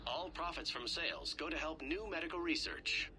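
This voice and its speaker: A man's voice: a little high, normal speed, over music, close to an everyday voice. A man playing a courteous company announcer in a game, delivering a promotional message.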